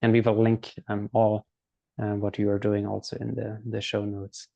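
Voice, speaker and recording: A man hosting an interview, asking questions in a flat, hesitant way with ums. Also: fairly low pitch, normal speed, creaky, no room sound, muffled recording. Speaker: interview host.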